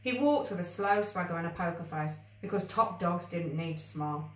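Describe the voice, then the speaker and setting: A woman reading a children's story aloud with expressive narration. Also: lowish voice, moderate pace. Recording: roomy, echoey, distant microphone.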